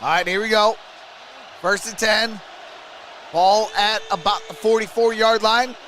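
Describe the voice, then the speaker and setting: A male sports streamer calls the game live in a high-pitched voice with a bright, forceful edge. His delivery is casual and chatty, moving between play calls and informal asides. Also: steady tone, unhurried, a little hiss or hum.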